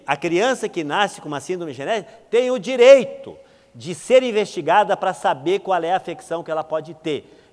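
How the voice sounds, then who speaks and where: Brazilian guy doctor doing a lecture, kinda high voice, emphatic teacher style, big echoey hall. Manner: didactic, emphatic, informal asides. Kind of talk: symposium lecture with slides. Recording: reverberant hall.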